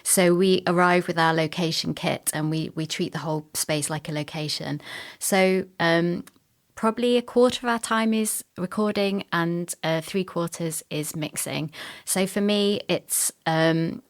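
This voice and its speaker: A woman's voice: lowish voice, unhurried. A woman, a sound designer, talking as a guest in an interview about work.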